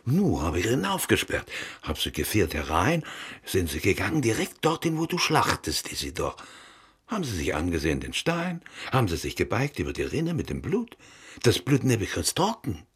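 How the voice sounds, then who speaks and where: An actor playing an anxious synagogue servant in a radio play, with a Yiddish accent, a raspy creaky voice and halting, agitated delivery. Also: male voice, lowish voice, huge pitch swings, exaggerated voice.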